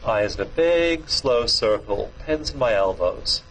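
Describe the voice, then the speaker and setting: A middle-aged man guiding a tapping demonstration, calmly feeding short phrases for a client to repeat, with gentle humor, seated in a small room on a lapel mic. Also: medium pitch, measured pace, creaky, slight background noise, low-quality recording. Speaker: tapping practitioner.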